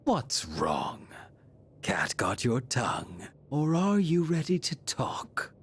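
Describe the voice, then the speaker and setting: An actor playing a coldly courteous game villain with formal, archaic, taunting speech who preaches like a false prophet. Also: male voice, medium pitch, huge pitch swings, slow pace, slightly rough, full character voice. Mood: menacing, taunting. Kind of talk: English video game voice acting. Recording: music under the voice.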